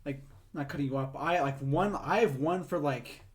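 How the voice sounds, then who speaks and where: A man's voice: fairly high pitch, moderate pace. A young man co-hosting a podcast, chatting casually and retelling scenes with laughs. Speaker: podcast co-host. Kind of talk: casual chat.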